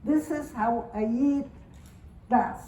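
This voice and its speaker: A woman in her nineties, from Romania, gives testimony to an audience in a high, unhurried voice. She talks earnestly, repeating herself and restarting her sentences. The sound is roomy and distant, as if picked up from across a hall. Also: dark tone. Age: 90s. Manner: earnest, slow and repetitive, with halting restarts. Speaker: Holocaust survivor giving testimony. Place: synagogue or community hall. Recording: roomy hall recording from far off.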